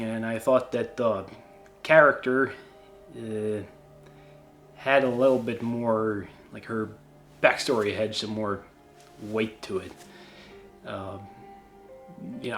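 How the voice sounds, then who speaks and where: A Swedish man with an accent, a YouTube creator casually answering viewer questions, halting and self-deprecating with many restarts. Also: medium pitch. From Sweden.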